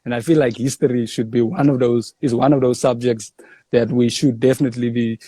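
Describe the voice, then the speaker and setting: A young South African comedian in casual interview chat, telling stories in a lively way and saying "bruh". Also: male voice, medium pitch, fairly quick, breathy edge, no echo. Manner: animated storyteller, says "bruh". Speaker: comedian guest.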